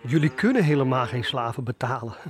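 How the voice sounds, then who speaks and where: An actor playing a scornful, dismissive slave trader in an audio drama. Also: male voice, mid-range voice, animated, fairly quick, lightly acted. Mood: scornful, indignant. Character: gruff, scornful male slave trader aboard ship. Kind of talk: Dutch audio drama acting.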